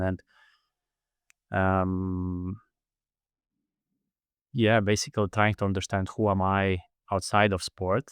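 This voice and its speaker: A man from Latvia speaking reflectively as an interview guest, halting now and then as he searches for words. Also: sits low, clean tone, dry recording, clean studio sound. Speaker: podcast guest, endurance athlete and heart rate expert.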